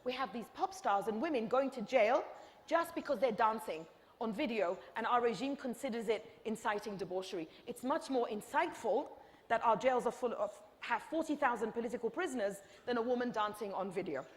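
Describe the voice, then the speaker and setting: A woman's voice: a little high, lively intonation, a little fast. Impassioned woman in a reverberant hall. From Egypt. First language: Arabic. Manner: impassioned, rhetorical repetition. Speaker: author and panel speaker. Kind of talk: lecture Q&A answer.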